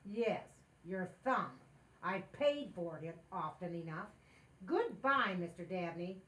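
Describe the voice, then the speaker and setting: A woman playing a scheming, excitable housewife with dry wit, wisecracking theatrically in an old-time radio sitcom. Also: on the low side, takes it slowly. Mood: wry.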